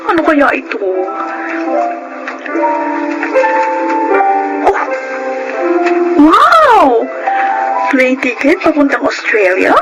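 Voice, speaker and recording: A woman acting a sweet, excitable, affectionate young wife in a Filipino radio drama, with a Filipino accent. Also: sits high, slow, over music, heavily acted, poor audio. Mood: delighted.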